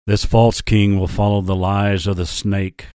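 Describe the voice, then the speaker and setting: Brief: a man playing a big, gentle lion, low and solemn, dry close sound. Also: flat delivery, clear, resonant tone, no room sound, close to an everyday voice. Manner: slow, earnest warnings. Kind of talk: storybook character voice.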